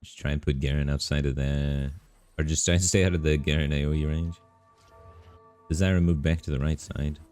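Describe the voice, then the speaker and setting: A man streaming a game live, talking in a relaxed, rambling way with lots of fillers, his voice bassy on a studio microphone at his home setup. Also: brisk, dry recording, faint music behind. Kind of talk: casual live-stream commentary. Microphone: studio microphone through an audio interface.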